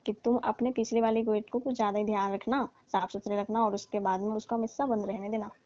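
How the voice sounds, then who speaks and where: A woman's voice: mid-range voice, little pitch movement, quick pace, dark tone, natural voice. A woman playing a coaxing middle-aged aunty on a phone call, wheedling and pleading as she keeps calling him "babu". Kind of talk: scripted comedy phone call. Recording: muffled phone call recording.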